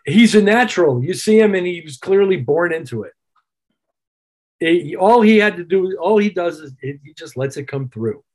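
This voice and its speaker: A man's voice: high-pitched, expressive, moderate pace, darkish tone. A man speaking as a musician guest in an interview, reflective and conversational, repeating phrases for emphasis. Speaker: interview guest, keyboard player.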